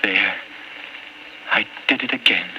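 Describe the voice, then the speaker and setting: A man's voice: on the low side, takes it slowly, bright, forceful tone, heavily acted. An actor playing a timid, anxious little old man in an old radio drama, speaking hesitantly and apologetically. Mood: brooding. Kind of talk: 1950s radio drama acting. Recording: muffled, noisy old radio recording.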